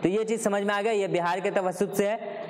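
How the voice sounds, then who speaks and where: A man's voice: high-pitched, steady tone, fairly quick, long reverb tail, background noise. A male teacher from Bihar, giving an exam-prep lecture and answering his own questions. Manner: repetitive, asks questions and answers them himself.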